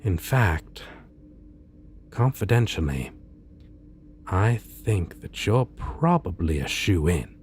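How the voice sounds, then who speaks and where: A man playing a suave, theatrically polite supervillain in an ASMR roleplay, speaking with deliberate pauses and sly menace. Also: sits low, sing-song, darkish tone, a little hiss or hum, full character voice. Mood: cordial, pleased. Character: suave male supervillain interviewing a minion, courteous, slyly menacing. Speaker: roleplay voice performer. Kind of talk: ASMR roleplay, scripted monologue.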